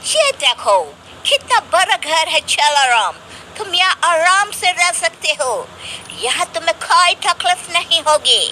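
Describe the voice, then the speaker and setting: A friendly foreign landlord in a children's cartoon , acted out by a performer. The voice is very bright, with a welcoming, reassuring manner. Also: extremely high pitch, very animated, measured pace, slight background noise, exaggerated voice, poor audio.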